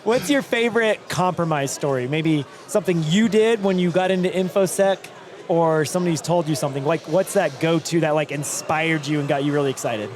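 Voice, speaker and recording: A man hosting a hallway interview at a conference, joking, laughing and asking quick follow-up questions. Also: on the high side. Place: outdoors at a conference venue.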